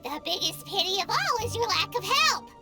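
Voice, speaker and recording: Give me a very high, squeaky little companion creature, drawn out and lively. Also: female voice, moderate pace, over music. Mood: complaining. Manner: squeaky, whiny, animated.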